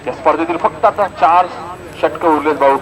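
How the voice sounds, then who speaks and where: A man's voice: on the high side, a little fast, a crowd in the background. A man with a Maharashtrian accent giving live ball-by-ball cricket commentary from an outdoor ground. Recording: noisy outdoor sports ground recording.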